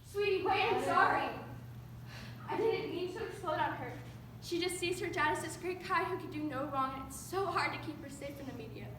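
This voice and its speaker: A woman's voice: very high voice, fairly quick. A woman playing an overwhelmed, apologetic mother in a stage drama, recorded from a distance in an echoey, noisy room. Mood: pleading, weary. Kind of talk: readers theater stage acting.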